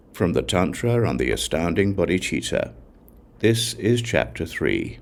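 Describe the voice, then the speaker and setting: Guy reading scripture for an audiobook, super low creaky voice, solemn, pitch moves around a lot. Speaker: audiobook narrator. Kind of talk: scripted narration of sacred Buddhist texts.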